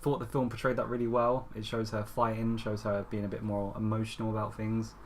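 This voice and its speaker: A man's voice: lowish voice, completely flat delivery, brisk, a little mellow, slight background noise. A man co-hosting a podcast, chatting casually and bluntly about his opinions on films in an informal, sweary way. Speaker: podcast host presenting a top five list. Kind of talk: casual film chat.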